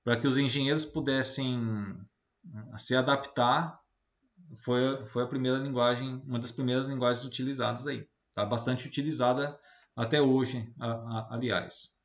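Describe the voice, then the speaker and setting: A man's voice: mid-range voice, fairly even intonation, normal speed. A Brazilian man giving an informal technical lecture as a teacher, explaining didactically and often adding short tag questions to check understanding. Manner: didactic, with frequent tag questions seeking agreement. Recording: roomy, slightly muffled lo-fi sound.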